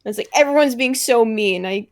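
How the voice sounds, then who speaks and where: A woman's voice: fairly high pitch, moderate pace. A woman speaking casually as a voice actor on an online panel, gushing a little and often hesitating. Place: online panel over voice call.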